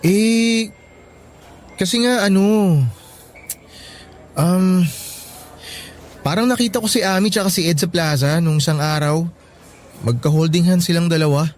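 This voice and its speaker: An actor playing a concerned young student friend in a Filipino radio drama, casual and hesitant, with a Filipino accent. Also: male voice, high voice, unhurried, pauses often.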